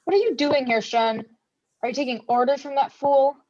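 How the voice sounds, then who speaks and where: A woman's voice: high voice, normal speed, a little mellow, no echo, lightly acted. A woman playing a brash, sarcastic young swordfighter and thief in a dramatic script reading, with a blunt, mocking manner. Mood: scornful. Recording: muffled lo-fi microphone audio.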